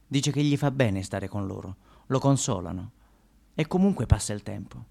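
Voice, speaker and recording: A man's voice: fairly low pitch, normal speed, vocal fry, dark tone. A man performing a theatrical first-person monologue, conversational and repeating phrases for emphasis.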